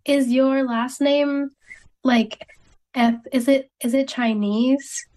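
Young woman hosting student radio, bit high voice, friendly, lots of "um". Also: steady tone, takes it slowly. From Thailand. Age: young adult. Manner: friendly, with soft "um" fillers when asking questions. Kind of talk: reading a script, then casual interviewing.

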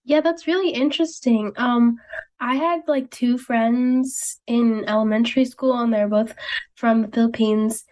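Young woman hosting student radio, bit high voice, friendly, lots of "um". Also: fairly even intonation, measured pace. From Thailand. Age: young adult. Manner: friendly, with soft "um" fillers when asking questions. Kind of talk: reading a script, then casual interviewing.

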